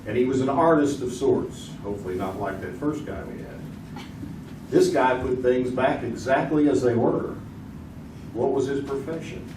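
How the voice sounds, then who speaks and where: A man's voice: mid-range voice, moderate pace, close to an everyday voice. A man playing a genial, joking, folksy host of an old-time radio quiz show. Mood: genial, teasing. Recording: roomy, distant, noisy stage recording.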